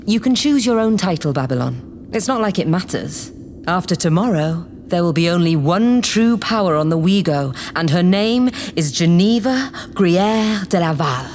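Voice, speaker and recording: A haughty, power-hungry female politician in an audio drama. Her grandiose, condescending delivery sweeps widely in pitch, over faint background noise. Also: lively intonation, moderate pace, a little mellow. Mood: grandiose, dismissive. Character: imperious, scheming politician woman plotting to seize power. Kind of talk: theatrical audio drama acting.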